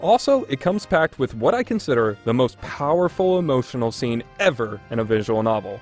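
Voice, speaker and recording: A man's voice: mid-range voice, sing-song, brisk, darkish tone, soft background music. A man delivering a scripted review narration in an opinionated, emphatic way. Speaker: reviewer, list host.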